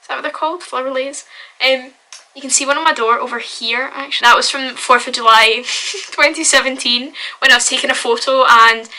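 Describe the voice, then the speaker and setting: Bright, chatty young Glaswegian woman. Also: high voice, fairly even intonation, a little fast, rough recording. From Scotland. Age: young adult. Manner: chatty and upbeat, full of "like" and "wee". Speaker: vlogger. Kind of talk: casual vlog chat. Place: her bedroom.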